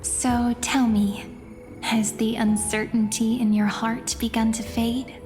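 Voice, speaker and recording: A woman playing a serene, mystical young oracle figure in a fantasy video game, with gentle, ceremonial phrasing. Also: on the high side, little pitch movement, takes it slowly. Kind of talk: English video game voice acting. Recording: long hall reverb under music.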